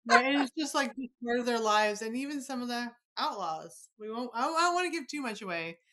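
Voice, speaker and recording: Bright, enthusiastic woman podcast host. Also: on the high side, animated, brisk. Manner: gushing, enthusiastic, informal. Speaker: podcast host interviewing an author. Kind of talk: casual, chatty interview.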